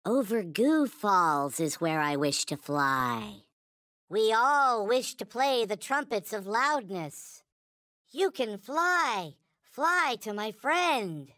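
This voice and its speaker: A man voicing a small, naive, reverent toy space alien in a video game, with a high childlike cartoon voice and solemn, stilted, formal phrasing. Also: big pitch swings, slow pace, sharp tone, no room sound, studio quality, exaggerated voice. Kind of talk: video game character voice lines.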